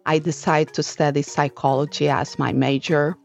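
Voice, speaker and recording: Portuguese woman, native speaker of portuguese, talking earnest and unhurried about herself, kinda dull dry recording with music under it. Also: low-pitched, little pitch movement, creaky. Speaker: podcast host and life coach. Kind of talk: personal story told for a podcast.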